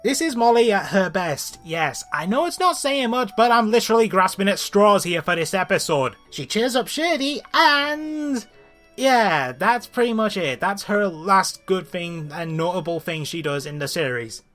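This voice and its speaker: Animated, bright-voiced woman ranting through a review. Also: medium pitch, moderate pace, soft background music. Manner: ranting, exasperated, blunt and sweary. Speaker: host of a cartoon review show. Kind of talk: scripted comedic review narration.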